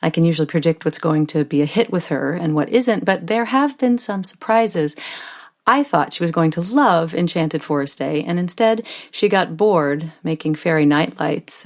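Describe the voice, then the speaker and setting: A woman's voice: lowish voice, expressive, normal speed, a little mellow. A wry, loving young mother. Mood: tender. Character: tired, loving young mother working from home. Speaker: actress performing a monologue. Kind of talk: scripted dramatic monologue.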